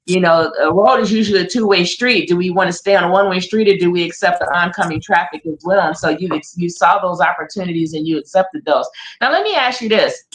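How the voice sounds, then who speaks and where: Encouraging woman host in a roomy room. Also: lowish voice. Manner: encouraging, affirming interviewer. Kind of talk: interview host. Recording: roomy echo.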